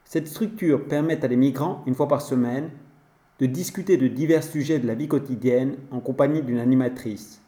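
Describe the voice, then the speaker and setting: A man presenting a report and asking interview questions in a calm, measured way. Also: mid-range voice, roomy sound. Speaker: radio reporter.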